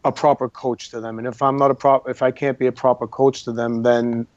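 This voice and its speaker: A man's voice: medium pitch, level tone, brisk, a little mellow, no room sound, muffled recording. A man from Boston, an acting coach, talking earnestly as a guest in a casual interview and repeating words for emphasis. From Boston, Massachusetts.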